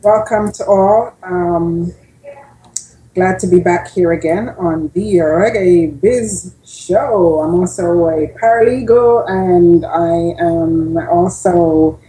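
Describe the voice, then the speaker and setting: A woman's voice: lowish voice, slow, warm tone. A woman introducing herself casually as a regular on a radio talk show, over an online call. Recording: echoey room on online call.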